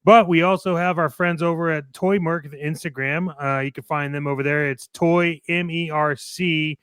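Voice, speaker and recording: A man co-hosting a toy collectors' show, giving casual, playful promotional shout-outs like a pitchman. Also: steady tone, moderate pace, clean tone, dry recording.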